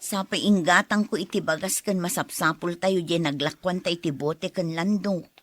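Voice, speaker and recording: An actress playing a poor, devoted wife, earnest and pleading, in a radio drama. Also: female voice, lowish voice, fairly even intonation, normal speed, dry recording, natural voice. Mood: caring, determined.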